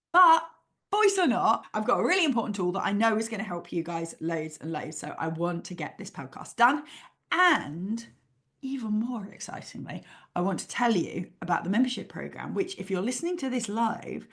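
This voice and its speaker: A woman hosting a podcast as a PhD life coach, her voice a little croaky after flu, talking in an upbeat, chatty way with frequent laughs. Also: medium pitch. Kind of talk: casual solo podcast advice.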